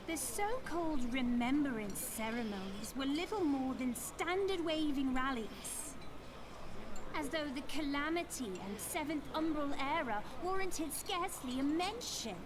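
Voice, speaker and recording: Fantasy game sister, very high and earnest, formal diction, clear ambient noise behind. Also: female voice, lively intonation, a little slow. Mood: doubtful. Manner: formal, grave, archaic phrasing. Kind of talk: dramatic fantasy game voice acting.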